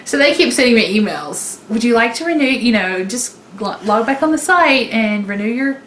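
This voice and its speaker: A woman's voice: fairly high pitch, lively intonation, moderate pace, fairly bright tone. A woman co-hosting a casual, unscripted chat in an informal, jokey, conversational way. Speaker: podcast co-host. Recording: echoey room, background noise.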